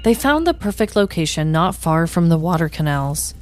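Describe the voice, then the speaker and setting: Lower-pitched woman, dramatic tongue-in-cheek storyteller. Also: big pitch swings, moderate pace, a little mellow, soft background music. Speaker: podcast host. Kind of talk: scripted comedic true-crime storytelling.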